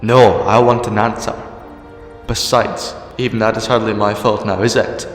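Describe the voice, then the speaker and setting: A man's voice: on the low side, little pitch movement, moderate pace, close to an everyday voice. A man playing a sardonic, argumentative time-travelling hero in a sci-fi fan audio drama, defiant in temper. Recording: echoey reverberant hall, music underneath.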